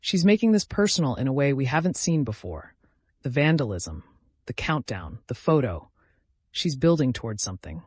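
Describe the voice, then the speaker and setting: A man's voice: mid-range voice, big pitch swings, moderate pace, no echo. A man voicing a grim, businesslike female police detective in an audiobook, terse and official.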